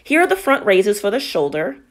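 A woman's voice: mid-range voice, big pitch swings, brisk. A woman narrating a workout as a fitness instructor, explaining each move in an encouraging, instructional way.

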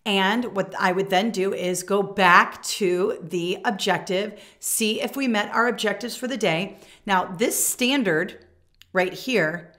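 A woman's voice: mid-range voice, takes it slowly, fairly bright tone. An American woman in her forties teaching in a conversational, emphatic way, often checking in with the listener as she demonstrates a classroom lesson. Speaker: teacher and teacher trainer. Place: small furnished room indoors.

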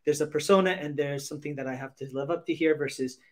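A man's voice: a little high, fast. A middle-aged man co-hosting an interview over a video call from home, chatting casually and eagerly, often repeating words as he goes. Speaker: co-host, interviewer. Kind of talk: casual enthusiastic chat. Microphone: video call mic.

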